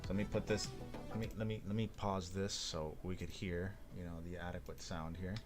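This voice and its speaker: A man talking casually on a live coding stream as a software developer, thinking aloud as he demonstrates. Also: low-pitched, little pitch movement, fairly quick, over music.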